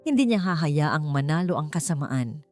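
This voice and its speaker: A woman narrating a scripted dramatic story with deliberate phrasing, as an audiobook narrator. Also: sits low, expressive, a little mellow. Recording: music bed behind a dry voice. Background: background music.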